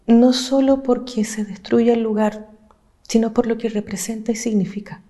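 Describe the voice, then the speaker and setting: A woman from Chile, an activist speaking earnestly and with passion, laying out her points in measured, listed phrases. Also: on the high side, steady tone, warm tone. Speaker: social movement activist. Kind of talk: reflective interview talk.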